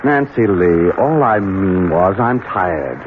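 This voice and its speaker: Brooding husband, lowish voice, radio drama. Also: male voice, animated, slow, narrow, tinny audio. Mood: uneasy, weary. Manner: curt, edgy, brooding. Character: tense, brooding Southern husband, master of the house. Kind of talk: 1970s radio drama acting. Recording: band-limited, noisy old radio recording.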